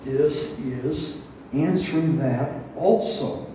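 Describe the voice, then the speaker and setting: A man in his sixties preaching an expository Bible study, reading and explaining scripture in a deliberate, teacherly way with rhetorical questions. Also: fairly high pitch, mellow. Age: 60s. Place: barn hall with a stage, indoors. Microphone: headset. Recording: roomy, echoing, distant sound with faint noise.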